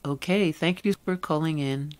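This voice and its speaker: A woman's voice: deep voice, normal speed. A woman radio host presenting and interviewing in a prepared broadcast style.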